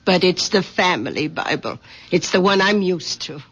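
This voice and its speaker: An actress playing a shy, self-conscious, pious widowed aunt in a radio drama, halting and fretful. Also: female voice, lowish voice, expressive, husky. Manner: halting, fretful, repeats words. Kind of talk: 1970s radio drama acting. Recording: dull old radio broadcast recording.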